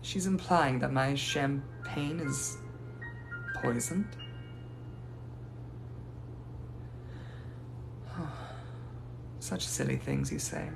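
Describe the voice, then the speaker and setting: A man's voice: medium pitch, takes it slowly, vocal fry, music in the background, low-quality recording. A man voicing the ghost of a young lady from the 1880s, speaking in a formal, old-fashioned and gracious manner. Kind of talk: improvised live roleplay answering viewers.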